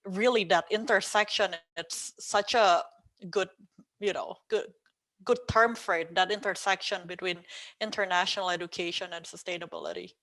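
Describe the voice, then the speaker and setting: A woman, a podcast co-host talking about her own path in a casual interview, thoughtful and pausing often with ums. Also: lowish voice, steady tone, fairly bright tone. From Indonesia. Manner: thoughtful, hesitant with frequent ums.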